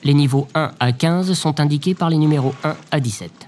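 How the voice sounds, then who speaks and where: A man playing a glum, officious government bureaucrat in a comic audio drama, deadpan and pedantic. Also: a little high, a little fast, natural voice. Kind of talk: audio drama comedy acting. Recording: faint background noise.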